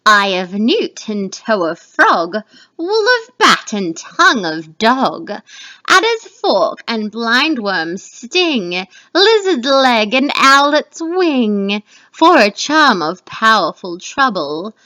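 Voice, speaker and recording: A woman playing a menacing witch in a dramatic Shakespeare reading, chanting spells with slow, emphatic, drawn-out words. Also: fairly high pitch, big pitch swings, bright, forceful tone, full character voice. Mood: eerie, incanting.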